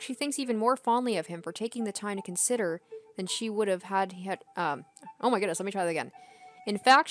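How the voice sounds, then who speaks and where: Female game streamer, mid-pitched, reads story narration aloud and chats casually, faint music underneath. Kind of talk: reading visual novel narration aloud, with casual asides. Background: soft game music.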